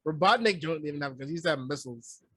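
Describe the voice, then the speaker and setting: A quick-talking man with a husky voice and a dry, close sound co-hosts a review show. He chats casually in slang, curses freely and repeats phrases for emphasis. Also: fairly high pitch, expressive, bright, forceful tone, no room sound. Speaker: podcast co-host reviewing movies. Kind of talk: casual, slangy chat with heavy cursing.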